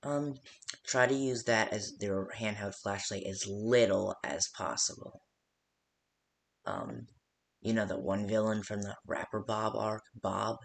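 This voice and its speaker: A man playing a rambling, hesitant phone guide who briefs a night guard in a game, with a gravelly voice. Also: lowish voice, animated, measured pace. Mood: forgetful, rambling. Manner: hesitant, rambling, full of stops and second thoughts. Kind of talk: improvised-sounding instructional phone call for a game.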